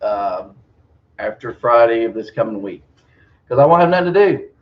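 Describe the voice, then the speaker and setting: A man's voice: medium pitch, expressive, normal speed, highs cut off. Folksy man with a Southern drawl. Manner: joking, folksy. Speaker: co-host, retiring from his job. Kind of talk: casual chat.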